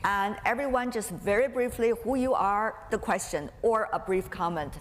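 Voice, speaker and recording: A woman with a medium-pitched voice moderates a live panel, giving brisk, clear directions. The big room echoes around her and there is a little noise behind. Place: conference panel hall. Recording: echoey hall with some noise.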